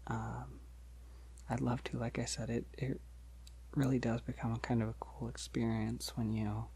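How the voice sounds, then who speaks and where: A man playing a polite, gentle, shy vampire in an ASMR roleplay, speaking softly and hesitantly to reassure the listener, often near a whisper. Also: mid-range voice, little pitch movement, vocal fry, husky. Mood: friendly, encouraging. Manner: hesitant, reassuring, halting with soft chuckles.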